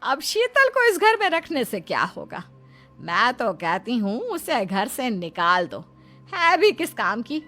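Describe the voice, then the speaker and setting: Woman acting a high, melodramatic, domineering mother-in-law. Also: wildly swooping pitch, unhurried, sharp tone, soft background music, full character voice. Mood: bossy, scornful. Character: domineering, scheming mother-in-law desperate for a grandchild. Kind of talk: melodramatic Hindi cartoon voice acting.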